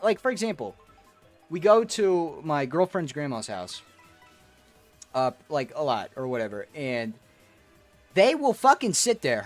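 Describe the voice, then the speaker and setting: A man's voice: high voice, a bit bright. A man streaming a game, chatting with viewers in a profane, rambling, ranting way. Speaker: gaming streamer. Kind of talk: casual rambling stream chat.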